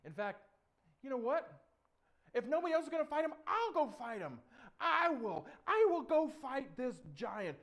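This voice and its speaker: A man's voice: big pitch swings, measured pace, full character voice. A man voicing a young shepherd boy, earnest and indignant, a little awkward but bold, in a told Bible story. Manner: high, stammering politeness turning to defiance.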